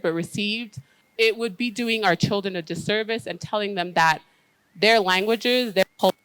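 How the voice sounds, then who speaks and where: A woman with an American accent speaking earnestly as a panelist at an education conference. She talks in steady, thoughtful stretches with frequent "um" fillers. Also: fairly low pitch, smooth, poor audio. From United States.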